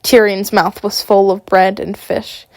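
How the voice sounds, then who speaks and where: Sick woman with a gravelly, dry voice. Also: mid-range voice, level tone, measured pace, a little mellow, no room sound. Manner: casual, rambling. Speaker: amateur audiobook reader. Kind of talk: audiobook narration.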